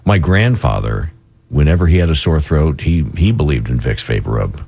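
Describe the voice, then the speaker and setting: A male morning radio host with a very low, husky voice and a lively, swinging pitch. He talks casually, full of restarts, and sounds a little hoarse from a cold. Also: normal speed. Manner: chatty, stumbling restarts, laughs often. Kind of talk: casual on-air banter. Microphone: studio microphone.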